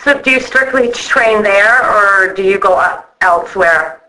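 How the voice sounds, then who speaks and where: A woman's voice: mid-range voice, a little slow, pushed and bright, rough recording. A woman asking questions in a casual phone interview, in an informal, chatty way.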